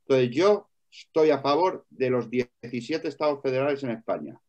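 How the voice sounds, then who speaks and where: A man's voice: mid-range voice, moderate pace, a little mellow. Vehement Spanish man, muffled lo-fi sound. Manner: vehement, repeats points, talks over others. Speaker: debate participant. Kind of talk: heated debate. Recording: dull lo-fi recording.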